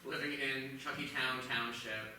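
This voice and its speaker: A man's voice: mid-range voice, fairly even intonation, unhurried, lightly acted. An improviser playing a flustered, stammering father on a live comedy stage. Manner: stammering, earnest. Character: flustered dad of a family being evicted. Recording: echoey room, far mic, noisy.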